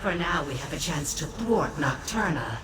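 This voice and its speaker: A woman's voice: low voice, animated, moderate pace. A woman voicing an imperious, cunning godlike demon prince in a fantasy game, haughty and calculating. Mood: haughty intrigue. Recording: distant echoing voice, loud background noise.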